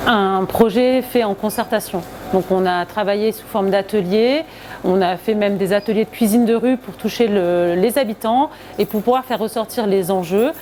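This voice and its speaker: A woman talks in an explanatory interview as a local project representative. Her voice sits at a middle pitch, with faint ambient noise behind her. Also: darkish tone.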